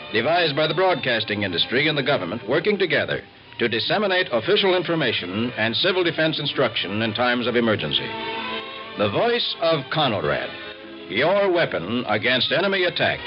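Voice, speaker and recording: A male actor plays an earnest American newspaper reporter in a 1950s radio documentary drama. His delivery is emphatic and clipped, with lively swings in pitch. The recording is dull and vintage, with music clearly behind him. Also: natural voice. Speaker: reporter and narrator.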